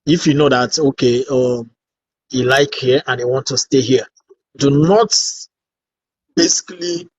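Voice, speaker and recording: Slow, deliberate man on a lo-fi line. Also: medium pitch, breathy edge, no room sound. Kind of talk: casual chat. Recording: dry, dull lo-fi sound.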